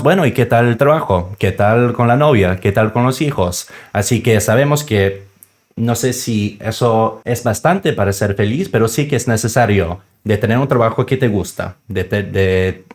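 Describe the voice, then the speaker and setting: Low-voiced man with a foreign accent. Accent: foreign, non-native Spanish. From born in Serbia, grew up in Canada. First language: not a native Spanish speaker. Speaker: podcast co-host. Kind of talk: casual conversational podcast talk.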